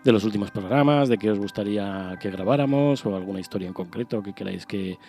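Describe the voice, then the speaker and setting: A man's voice: lowish voice, quick pace, a little mellow, soft background music. A man with an accent from Spain co-hosting a casual radio show in a hesitant, rambling way. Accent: Spanish (Spain).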